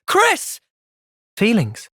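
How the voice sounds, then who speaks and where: A man with an English accent playing an earnest, thoughtful young soldier hero in a video game, speaking sincerely and with measured delivery. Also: very high-pitched, huge pitch swings, a bit bright, husky, dry recording, studio quality, full character voice. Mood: urgent, shouting, then troubled, pensive. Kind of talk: video game cutscene voice acting.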